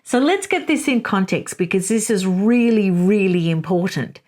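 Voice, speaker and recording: A woman's voice: medium pitch, lively intonation, moderate pace, darkish tone. A woman hosting her own commentary channel, making emphatic opinionated arguments and reading passages aloud. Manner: emphatic, rebutting, reads quotes aloud.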